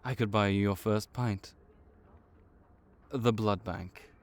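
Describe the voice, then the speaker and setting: A man's voice: on the low side, fairly even intonation, slow pace. A man voicing a laid-back vampire clerk and mentor in an audio roleplay, dry and wry as he speaks to the listener. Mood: easygoing.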